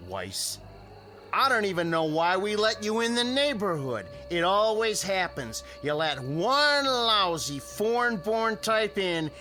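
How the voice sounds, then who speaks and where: A man's voice: sits high, bright, forceful tone, full character voice. A male actor in a radio drama playing a belligerent, bigoted, hot-headed neighbor with an aggressive, sneering manner.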